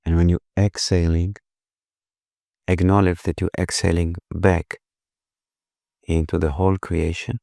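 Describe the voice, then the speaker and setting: A man with a very deep voice guides a meditation gently and slowly. His tone stays very even, with little rise or fall, and he leaves soothing pauses between phrases. The sound is dry and close. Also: creaky, dry recording.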